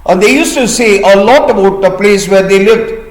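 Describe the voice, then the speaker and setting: A man with an Indian accent telling a folk tale to listeners in a deliberate, teacherly way with pauses. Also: sits high. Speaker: storyteller and host. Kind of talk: storytelling of a folk tale for listeners learning vocabulary. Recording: echoey room.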